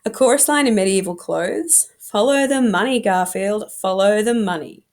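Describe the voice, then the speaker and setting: A woman's voice: medium pitch, normal speed, a little mellow, natural voice. A woman voicing a theatrical, teasing, self-assured teenage boy from a novel in a dramatic, emphatic way. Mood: smug, theorizing.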